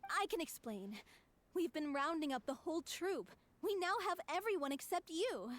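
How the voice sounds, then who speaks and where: A woman playing an upbeat, theatrical young troupe leader in a video game, chipper and expressive. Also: very high-pitched, normal speed. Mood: explaining.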